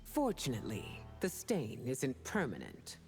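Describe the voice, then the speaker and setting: A woman's voice: a bass voice, huge pitch swings, a little slow, over loud music, full character voice. A woman playing a proud, stern, middle-aged warrior empress in a video game, speaking in a regal, commanding manner. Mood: proud, grave. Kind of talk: video game dramatic acting.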